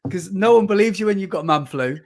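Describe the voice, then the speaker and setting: A man co-hosting a podcast. His voice is hoarse and deeper than usual from illness, and he jokes around playfully. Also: fast. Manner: jokey, animated, playful. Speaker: podcast co-host. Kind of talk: casual chat.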